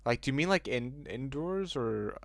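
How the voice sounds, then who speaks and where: A man hosting a livestream, chatting loosely with his viewers and reacting out loud to their messages. His voice sits at a middle pitch. The sound is close and dry, with no room around it. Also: expressive, moderate pace, gravelly, no echo. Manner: chatty, reacts aloud to chat, swears casually. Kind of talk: casual livestream chat with viewers.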